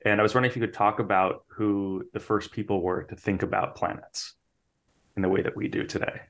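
A man hosting a book interview podcast, asking long questions in a halting way full of restarts. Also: on the low side, fairly even intonation, fairly bright tone. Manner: halting, many fillers and restarts when asking. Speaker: podcast host.

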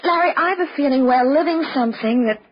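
An actress playing an anxious, perceptive young wife in a 1950s radio drama, with earnest, fretful delivery. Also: female voice, high voice, brisk, natural voice. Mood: uneasy, wondering. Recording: dull, noisy old radio recording.

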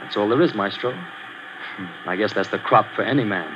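An actor playing an earnest young boxer who talks in a plain, direct way, in a 1940s radio drama. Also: male voice, lowish voice, brisk, close to an everyday voice. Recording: hissy lo-fi old radio recording.

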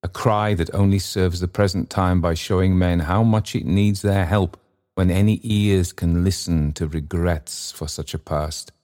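A deep, dark-toned phantom of a great bell, as a male narrator plays it. It speaks like a grave judge in a storybook, solemn and accusing, with slow and weighty phrases. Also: fairly even intonation. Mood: grave reproach. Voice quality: low and deep. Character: stern goblin spirit of a great church bell. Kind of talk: dramatised storybook reading.

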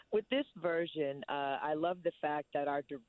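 A woman with a deep voice, a stage actress chatting casually in an interview, often saying "you know.". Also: unhurried, narrow, tinny audio, a crowd in the background. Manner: laid-back, with frequent "you know".

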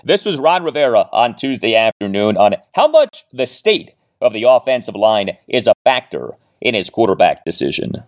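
A man hosting a sports podcast, giving commentary in an emphatic, deliberate way with figures spelled out in full. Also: lowish voice, very animated. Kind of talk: sports commentary, presenting and analysing interview clips.

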